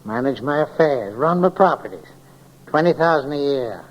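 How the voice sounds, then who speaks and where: A man's voice: mid-range voice, lively intonation, moderate pace, natural voice. Man playing domineering old miser, muffled vintage radio. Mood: wheedling. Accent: American. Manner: blustering, condescending, grandiose. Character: scheming, domineering, miserly old tycoon, male villain. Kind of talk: 1940s radio drama acting. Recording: dull vintage radio recording, faint noise.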